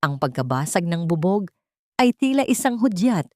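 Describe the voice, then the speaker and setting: A woman with a fairly low voice reads a dramatic audiobook. Her delivery is solemn and theatrical, and the sound is close and dry, free of room echo. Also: big pitch swings, moderate pace, darkish tone. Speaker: audiobook narrator. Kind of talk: dramatic scripted story narration.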